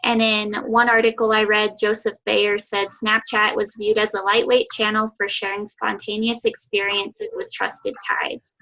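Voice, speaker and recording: A young woman giving a class presentation over a video call, with a high voice and a slight lisp. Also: gravelly, fairly bright tone, slight background noise, low-quality recording. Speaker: student presenter.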